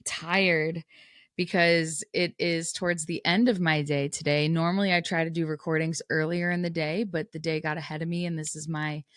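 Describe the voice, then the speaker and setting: A woman's voice: sits low, flat delivery, moderate pace, a bit bright, no room sound, clean studio sound. A woman podcast host, a little scratchy and tired from a cold, talking candidly and casually with frequent laughs. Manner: candid, chatty, laughs often.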